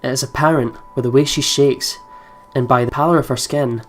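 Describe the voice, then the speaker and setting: A man's voice: medium pitch, steady tone, fairly quick. A man hosting a let's play, reading game narration aloud and adding casual commentary. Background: game music.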